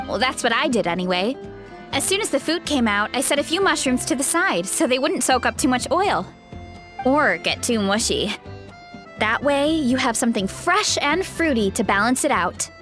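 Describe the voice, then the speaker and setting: An actress playing a sweet, easygoing young orchard grower in a video game, speaking earnestly. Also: female voice, high voice. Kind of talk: English video game voice acting.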